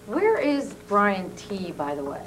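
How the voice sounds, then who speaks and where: A woman's voice: mid-range voice, huge pitch swings, slow, a little hiss or hum, rough recording. A woman hosting a small local TV studio show, asking questions in a playful way. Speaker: host. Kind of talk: studio interview.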